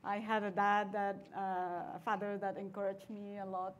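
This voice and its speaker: A woman's voice: medium pitch, flat delivery, normal speed. A woman from Mexico tells her story on a conference panel, seated with a lapel mic. She talks in a chatty, easygoing way, slipping small laughs between phrases. A faint ambient hum runs underneath. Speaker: venture capitalist panelist. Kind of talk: panel discussion. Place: conference stage seated in armchair.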